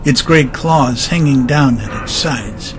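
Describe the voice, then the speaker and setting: A man's voice: medium pitch, takes it slowly, music in the background, rough recording. A man narrating a dramatized audiobook story.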